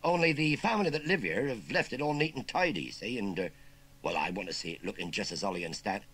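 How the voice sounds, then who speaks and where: A man's voice: lowish voice, animated, fast, a bit bright, slightly rough. A man voicing a grumpy but kindly older farmer who fumbles and hesitates over his words. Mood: flustered.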